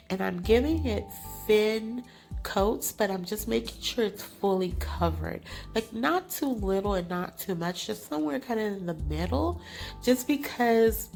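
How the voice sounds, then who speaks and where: Chatty congested woman with gravelly fry. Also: mid-range voice, a little mellow. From California. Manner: chatty, apologetic, laughs often. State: congested, nasal from allergies. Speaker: crafting channel host. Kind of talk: casual craft tutorial voice-over.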